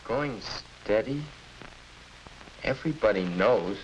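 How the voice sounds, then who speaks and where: A man's voice: lowish voice, expressive, takes it slowly, low-quality recording. An American actor playing a puzzled, earnest teenage boy in a hesitant, musing way, in 1950s educational film style. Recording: hissy 1950s film soundtrack.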